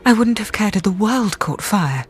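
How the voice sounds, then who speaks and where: An earnest British woman doctor in a radio drama. Her speech is precise and restrained, with a literary turn and a middling pitch. Faint music sits behind the voice. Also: animated, normal speed, slightly rough. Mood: rapt. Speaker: radio drama actor, narrator character. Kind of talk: radio drama dialogue and first-person narration.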